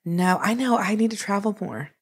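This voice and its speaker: A woman's voice: mid-range voice, expressive, moderate pace, husky. A woman speaking as a guest in a casual, conversational interview, reminiscing in an informal way.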